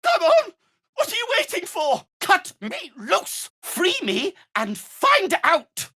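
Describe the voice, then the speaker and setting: An actor playing a proud, grumbling deep gnome in a video game, wry, formal and theatrically indignant. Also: male voice, wildly swooping pitch, takes it slowly, pushed and bright, full character voice. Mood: panicked, outraged. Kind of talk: scripted video game character lines.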